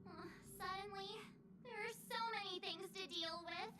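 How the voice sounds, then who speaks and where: A woman's voice: extremely high pitch, moderate pace, recorded from a distance. A woman voicing a small, childlike, fretful and chatty companion in a video game, with a dramatic, complaining manner. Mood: worried, exasperated.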